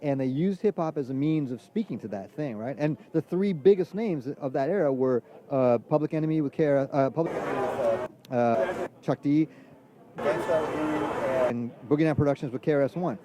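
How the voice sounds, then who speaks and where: A man from Trinidad chatting casually as a musician being interviewed, using relaxed hip hop slang. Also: on the high side, normal speed, crowd noise. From Trinidad, from San Fernando. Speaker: jazz trumpet player, interview guest. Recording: loud music in the background.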